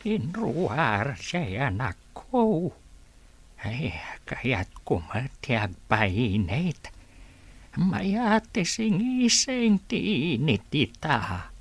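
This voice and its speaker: A woman acting as a weary, tender elderly father in a radio drama, speaking haltingly in a creaky voice. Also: low-pitched, big pitch swings, exaggerated voice. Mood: weary, gentle. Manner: slow, halting.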